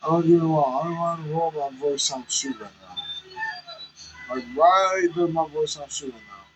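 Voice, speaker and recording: A man's voice: fairly high pitch, wildly swooping pitch, normal speed, soft background music, poor audio. A man vlogging candidly from the cab of his semi truck, rambling and self-conscious as he chats to viewers. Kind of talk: casual chat while driving.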